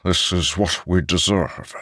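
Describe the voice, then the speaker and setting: A man voicing an aged, weary immortal herald in an audiobook, with a solemn, deliberate and strained delivery. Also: low voice, a bit bright, no room sound, clean studio sound. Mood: tired, defeated.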